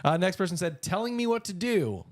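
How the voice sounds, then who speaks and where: A man's voice: high voice, very animated, a little fast, no room sound, studio quality. A man in his thirties co-hosting a podcast in a casual, playful chat, with a jokey, bantering way of talking. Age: 30s. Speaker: podcast co-host.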